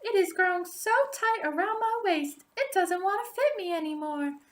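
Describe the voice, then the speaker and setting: A woman's voice: very high-pitched, animated, moderate pace, voice pushed up. A woman voicing an innocent, naive young woman in a storybook, with a girlish, polite delivery. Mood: innocent pleading. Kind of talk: storybook read-aloud character voice.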